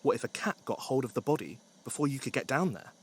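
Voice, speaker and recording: A man's voice: medium pitch, expressive, quick pace, breathy edge. A man narrating a scripted story with wry, dry humour.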